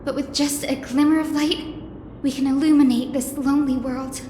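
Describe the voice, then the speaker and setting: A woman voicing an earnest, gentle young heroine in a fantasy game, speaking poetically. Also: high voice, fairly even intonation, normal speed, a little hiss or hum, natural voice. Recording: echoing hall, music behind.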